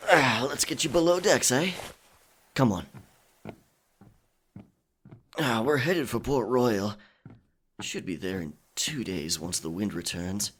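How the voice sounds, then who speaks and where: A man's voice: on the low side, fairly bright tone, slight background noise, heavily acted. A man playing a roguish pirate captain, courteous but firm, with gallant old-fashioned phrasing in an intimate ASMR roleplay. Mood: musing, hospitable. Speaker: audio roleplay performer. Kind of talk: ASMR audio roleplay, intimate dramatic acting.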